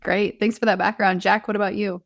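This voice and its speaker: A woman's voice: mid-range voice, flat delivery, brisk, vocal fry. A woman hosting an interview podcast, presenting and steering the conversation. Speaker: podcast host. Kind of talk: podcast hosting, introductions and questions.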